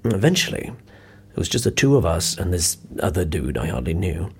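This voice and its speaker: A blunt, grumbling office colleague in an audio drama. The voice is very raspy and low, yet moves up and down expressively. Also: male voice, big pitch swings, brisk, a little mellow, heavily acted. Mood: weary, reflective. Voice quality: husky, rough. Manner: dry, blunt. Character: dry, grumbling office colleague telling anecdotes. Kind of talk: naturalistic audio drama dialogue.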